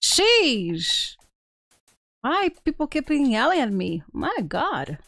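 Slow-paced, higher-pitched woman streaming a game. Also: sing-song, creaky, a bit bright, dry recording. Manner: playful, exclamatory, chatty with viewers. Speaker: streamer, video game host. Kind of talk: casual live game stream chat, reading on-screen text and chat aloud. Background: faint video game music.

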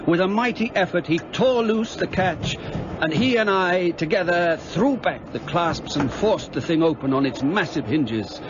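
A man's voice: sits high, expressive, measured pace. An actor playing a British doctor who narrates his detective friend's adventure in formal, vivid radio drama style. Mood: gripping, dramatic. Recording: dull sound, clear background noise.

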